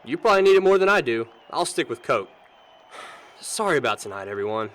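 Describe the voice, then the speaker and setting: Actor as a wounded young man, lively pitch. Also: fairly quick. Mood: dejected apologetic. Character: wounded, bitter young man betrayed by his friend. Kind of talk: amateur audio drama acting.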